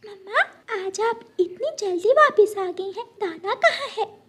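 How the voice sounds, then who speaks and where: A woman's voice: very high voice, animated, measured pace, a bit bright, pitched above the performer's own voice, heavily acted. A woman voicing a childlike young girl bird, brave and anxious, in a children's cartoon. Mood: anxious, questioning.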